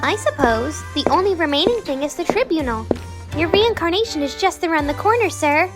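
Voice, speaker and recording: A performer voicing a fussy, loyal old underworld attendant in an animated drama, chatty, deferential and fretful. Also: extremely high pitch, fairly quick. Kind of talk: animated-story character acting. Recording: music behind the voice.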